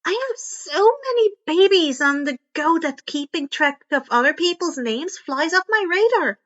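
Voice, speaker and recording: A woman's voice: very high voice, expressive, moderate pace, a bit bright, dull audio, voice pushed up. A woman voicing an eccentric, curious teenage girl inventor with a perky, casual, teasing manner in a story reading. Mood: breezy.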